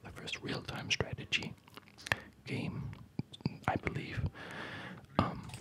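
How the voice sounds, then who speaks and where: Whispered, slow ASMR gaming commentary. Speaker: gaming video host.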